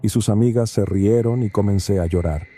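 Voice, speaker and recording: A man narrates a first-person horror story in a calm, steady reading. His voice sits low. The tone is very dark and mellow. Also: fairly quick, faint music behind.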